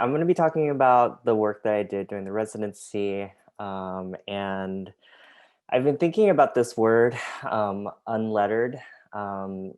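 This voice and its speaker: Man, artist presenting over a video call, low and unhurried, hesitant with lots of fillers, first language Vietnamese. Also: smooth. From United States. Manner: hesitant, many ums, soft-spoken. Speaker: artist and graphic designer. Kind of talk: presenting artwork in an online talk.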